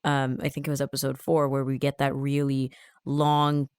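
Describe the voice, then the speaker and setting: A man interviewing in a conversational way, measured and often pausing mid-sentence as he discusses a story. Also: mid-range voice, monotone, smooth, no echo, clean studio sound. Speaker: interviewer and reviewer.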